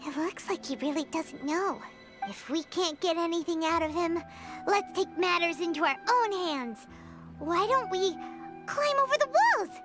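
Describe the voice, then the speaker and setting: A woman voicing a small, excitable, childlike fairy companion in an animated video game, with a squeaky, emotional delivery. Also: unhurried. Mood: scheming. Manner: refers to self in third person, emotional. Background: background music.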